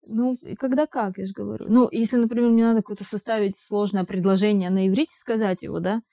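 Mellow-voiced woman, halting casual chat. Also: mid-range voice, fairly even intonation. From Russian-speaking immigrant living in Israel. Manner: hesitant, halting with restarts and fillers. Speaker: interviewee. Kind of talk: casual conversation.

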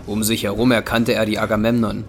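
A man's voice: lowish voice, little pitch movement, fairly quick, noisy background. A man narrating a German audio drama in a scripted, dramatic storytelling style.

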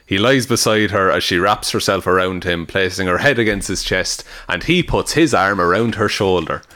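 A man's voice: sits low, moderate pace, pushed and bright. An Irish man co-hosting a comedy podcast, switching between mock-dramatic reading and casual, amused banter. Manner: amused, breaking into laughter as he reads.

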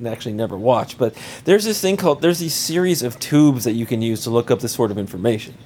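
A man co-hosting a casual podcast, his voice hoarse from a recent cold. He teases his friend in a dry tone and trips over his own words as he goes. There is a faint hiss behind him. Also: medium pitch, animated, quick pace, darkish tone. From Maryland. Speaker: podcast co-host.

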